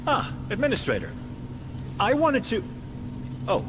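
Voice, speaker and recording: Pompous, animated scientist doctor. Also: male voice, high voice, measured pace, heavily acted. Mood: ingratiating. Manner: pompous, arch, formal. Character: droll, fussy, self-satisfied male scientist doctor. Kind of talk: video game dialogue. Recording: clear vehicle and ambient noise behind.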